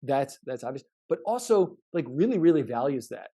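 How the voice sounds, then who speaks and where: A man explaining his research in podcast conversation, halting and repetitive, often stressing points and checking in. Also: mid-range voice, very animated, warm tone, breathy edge.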